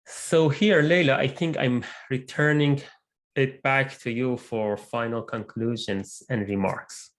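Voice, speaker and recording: A man who is not a native English speaker, presenting his research group's work in an online meeting, measured and with frequent fillers. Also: medium pitch, fairly even intonation. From immigrant to Canada. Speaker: research lab lead presenting. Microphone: computer mic on video call.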